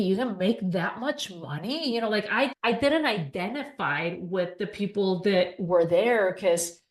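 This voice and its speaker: A middle-aged woman from Spain, a podcast guest telling her life story in a chatty way and acting out the dialogue she recalls. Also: mid-range voice. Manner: chatty, acts out quoted dialogue. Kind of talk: storytelling in an interview. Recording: echoey room.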